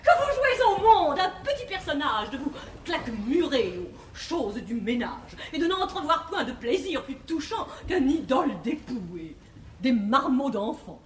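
High, swooping, haughty prudish bluestocking. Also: female voice, big pitch swings, a little slow. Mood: haughty scorn. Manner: declamatory, theatrical classical verse delivery. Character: haughty, prudish bluestocking young woman scorning marriage. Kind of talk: 1950s French classical stage comedy acting. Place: large theatre stage with live audience. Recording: echoey theatre stage, faint hiss.

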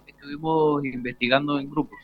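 A man's voice: fairly high pitch, fairly even intonation, a little fast. A teenage boy, a student giving short answers in an interview. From Argentina. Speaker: student podcaster being interviewed. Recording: tinny phone-like broadcast sound.

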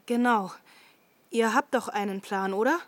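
Audio drama role, female actor: anxious, insistent young woman, lightly high pitched, very dry recording. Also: unhurried, dry recording, natural voice. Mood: questioning. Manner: questioning, uneasy, insistent. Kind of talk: German audio drama acting.

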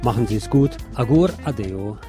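A man's voice: medium pitch, expressive, moderate pace, loud music behind the voice. A man hosting a radio show, presenting in a partly scripted way.

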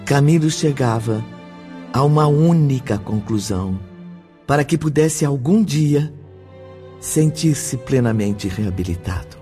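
Slow, mellow radio drama narrator. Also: male voice, medium pitch, expressive. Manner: slow, measured. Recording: radio drama with faint music.

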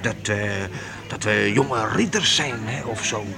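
A man's voice: medium pitch, expressive, unhurried, gravelly, a crowd in the background. An actor playing a kindly, persuasive young vagabond performer in a radio drama, eager and tripping over his words.

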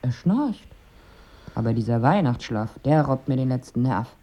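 Eine Schauspielerin spielt in einem Hörspiel eine entnervte Ehefrau, die sich vertraulich und trocken beklagt, mit rauchig-hauchiger Stimme. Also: female voice, very deep voice, lively intonation, normal speed, warm tone. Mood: dryly aggrieved. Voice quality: husky, breathy. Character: exasperated wife fleeing Christmas with her ever-sleeping husband. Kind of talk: radio drama acting.

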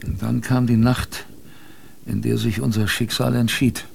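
An actor playing a male polar expedition survivor, narrating his story dramatically in first person, halting and repeating phrases. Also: mid-range voice, level tone, gravelly, darkish tone, a little hiss or hum. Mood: grave.

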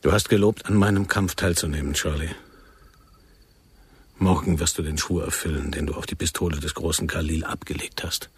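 Solemn, husky low-voiced militant. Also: male voice, fairly even intonation, a little fast, no room sound, heavily acted. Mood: solemn, commanding. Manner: grave, insistent, ceremonious. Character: solemn, commanding male agent posing as a fervent militant lover. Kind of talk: German radio play acting.

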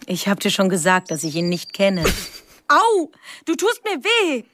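A woman's voice: medium pitch, wildly swooping pitch, unhurried, fairly bright tone, no echo, clean studio sound, heavily acted. A woman playing a prim, pious teenage sister in a radio drama, exasperated and defensive. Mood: distressed, defiant. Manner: indignant, defensive.

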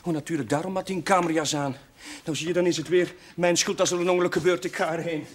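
Man acting earnest, quick, high-voiced innocent. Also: steady tone, noisy background, natural voice. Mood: earnest, defensive. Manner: hesitant, guileless, questioning. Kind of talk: television drama acting.

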